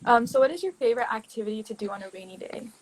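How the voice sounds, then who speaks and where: Breathy young woman asking questions. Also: fairly high pitch, expressive, very fast, poor audio. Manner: friendly, giggly, with frequent "um". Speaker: student interviewer. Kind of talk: reading interview questions.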